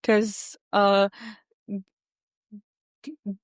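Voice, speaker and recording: A young adult woman who stutters, speaking haltingly with many fillers as an interview guest. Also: mid-range voice, completely flat delivery, dry recording. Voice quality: stutter with repeated sounds and blocks. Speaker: guest, software engineer. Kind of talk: conversational interview.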